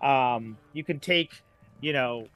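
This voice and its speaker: A man's voice: mid-range voice, takes it slowly, fairly bright tone, smooth. An American man in his late forties chatting casually as a podcast guest, in a rambling storyteller's way. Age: late 40s. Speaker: guest, writer.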